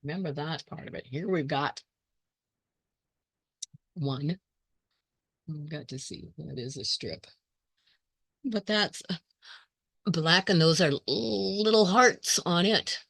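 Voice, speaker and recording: A hoarse woman hosting her quilting channel, thinking aloud in casual chat as she sorts scrap fabric and greets viewers by name. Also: sits low, slow, long pauses, a bit bright, no echo.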